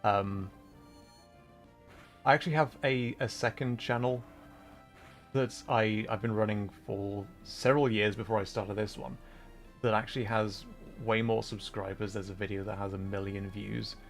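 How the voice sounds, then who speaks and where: Guy with a lowish voice just rambling on stream, thinking out loud, music playing behind him. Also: steady tone. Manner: rambling, halting, thinking aloud. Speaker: livestreamer, VTuber and content creator. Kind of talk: casual livestream chat with game commentary.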